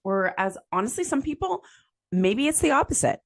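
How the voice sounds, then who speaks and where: A woman hosting a solo podcast, raspy after being sick, talking in a chatty, upbeat way with lots of fillers and small laughs. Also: a little high, big pitch swings, moderate pace. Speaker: podcast host. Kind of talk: solo podcast tips talk.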